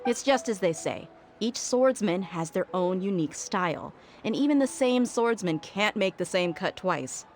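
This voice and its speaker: A woman's voice: mid-range voice, lively intonation, moderate pace, few pauses, slight background noise, lightly acted. A woman voicing a confident, disciplined young female mercenary fighter in a video game, explaining things in an assured, easygoing way. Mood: confident, firm. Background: faint game music.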